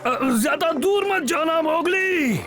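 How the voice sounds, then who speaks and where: A man's voice: extremely high pitch, a little slow, over loud music. A man voicing a cautious, wondering grown-up animal guardian in a cartoon dub. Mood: wary wonder. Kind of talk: Hindi cartoon dub acting.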